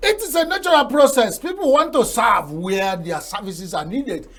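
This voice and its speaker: Man, older, Nigerian accent, Igbo native speaker, high voice, TV talk show guest, folksy, proverb-laden. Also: lively intonation, normal speed, fairly bright tone. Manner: folksy, proverb-laden, laughs at his own jokes.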